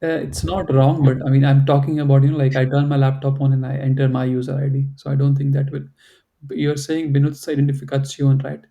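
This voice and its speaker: A man's voice: medium pitch, flat delivery, fast talker, vocal fry, muffled recording. A man teaching a German class over a video call. He explains patiently and fills his talk with "you know" and "right", and English is not his first language. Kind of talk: online class instruction.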